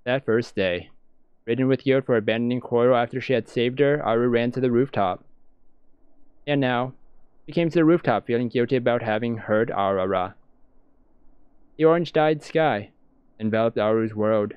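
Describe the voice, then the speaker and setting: A man's voice: medium pitch, moderate pace, pauses often, smooth, dry recording. A man reading visual novel narration aloud, as in a let's-play. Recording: dull lo-fi sound, music behind.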